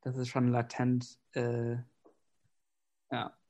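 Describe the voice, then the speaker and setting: A man's voice: mid-range voice, completely flat delivery, slow pace, a little mellow. A man whose first language is English, speaking German in a casual, reflective conversation with frequent fillers. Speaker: conversation partner.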